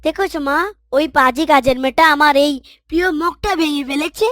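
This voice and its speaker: A spoiled, haughty young cartoon boy, acted out by a woman, with a very high voice. He is bossy and petulant, and the recording is close and dry. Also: moderate pace, fairly bright tone, no echo. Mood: sulky complaining. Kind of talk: Islamic moral cartoon voice acting.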